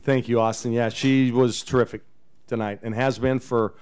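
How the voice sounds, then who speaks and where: Chatty fifties man with a smooth voice. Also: medium pitch, normal speed. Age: 50s. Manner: relaxed, chatty, chuckles often. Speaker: head basketball coach. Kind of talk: post-game press conference. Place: press conference room with backdrop. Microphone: table microphone on a stand.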